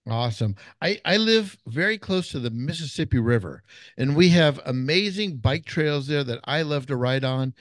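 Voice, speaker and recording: A man's voice: on the high side, animated, normal speed, no room sound. A man hosting a cooking podcast with enthusiastic, exclamatory energy. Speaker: podcast host, chef.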